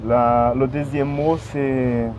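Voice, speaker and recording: Slow, halting man. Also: medium pitch, flat delivery, a little mellow. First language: Portuguese. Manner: halting, searching for words, many fillers. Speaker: language learner. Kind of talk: casual vlog monologue. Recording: dull lo-fi outdoor recording with street noise.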